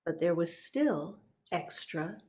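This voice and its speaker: A woman narrating a children's picture book, expressive and deliberate, pausing for emphasis. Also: lowish voice, slight background noise. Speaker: reverend reading a picture book.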